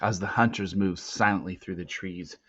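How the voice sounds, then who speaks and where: A man reading a storybook aloud as narrator, with dramatic, suspenseful swells and hushed drops. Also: low-pitched, steady tone, moderate pace. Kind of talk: expressive storybook read-aloud. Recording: dull lo-fi, roomy echo.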